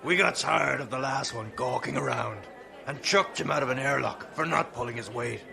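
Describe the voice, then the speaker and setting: An actor playing a gruff, impatient veteran pirate crewman with a gravelly voice and brusque, rough grammar in an audio drama. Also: mid-range voice, moderate pace, crowd noise. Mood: impatient, bullying.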